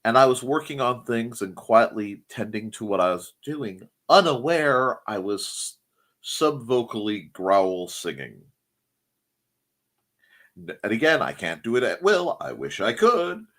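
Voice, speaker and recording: A middle-aged man chatting casually as a show co-host, rambling, pausing to search for words and adding wry asides, his voice still recovering after he nearly lost it. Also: mid-range voice, very animated, a bit bright. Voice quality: husky. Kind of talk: casual chat.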